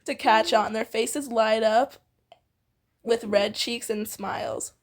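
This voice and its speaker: A woman's voice: a little high, fairly even intonation, slow pace. A young woman reading fan fiction aloud casually, giggly and often breaking off into banter. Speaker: amateur fan fiction reader and co-host.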